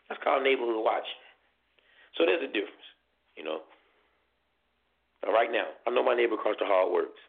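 A young man hosting an online call-in show, talking earnestly and rambling, repeating himself, his voice getting hoarse. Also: fairly low pitch. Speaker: podcast host. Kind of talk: casual call-in show monologue. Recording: tinny phone-line online radio.

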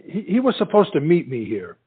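A man acting a dim, nervous young suitor in a stage comedy, heard through tinny, narrow sound. He stammers and dodges in a fairly high voice that swings up and down. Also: huge pitch swings, brisk, husky, exaggerated voice. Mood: nervous, evasive. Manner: hesitant, stammering, evasive. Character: tongue-tied, dim, anxious young suitor soldier.

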